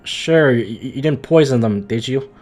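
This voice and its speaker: A man's voice: mid-range voice, normal speed, a little mellow. Male livestreamer, mid-range voice, slangy and emphatic off-the-cuff game commentary, light music underneath. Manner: slangy, stumbling, repetitive, emphatic. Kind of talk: casual live game commentary.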